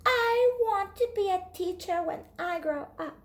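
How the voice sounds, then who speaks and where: An eager, bossy little storybook girl, played by a woman. The voice leaps very high and slows down for emphasis, gushing with excitement. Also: roomy sound. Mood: proud, dreamy. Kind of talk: children's storybook read-aloud.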